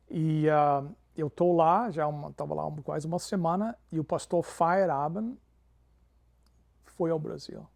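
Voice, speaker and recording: Gravelly pastor, warm tone. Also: male voice, a little high. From Canada. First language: English. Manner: peppers Portuguese with English fillers. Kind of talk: interview storytelling.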